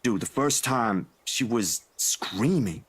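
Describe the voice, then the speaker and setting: A man's voice: medium pitch, animated, slow, husky. A man acting a laid-back, caring young man in a visual novel, speaking casually and a little hesitantly. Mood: worried, hesitant.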